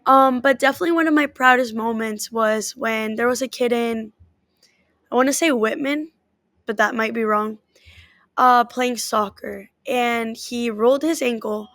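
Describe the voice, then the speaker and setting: A woman's voice: a little high, measured pace, fairly bright tone, no room sound, studio quality. A woman whose first language is Spanish, an athletic trainer answering interview questions in an earnest, upbeat way.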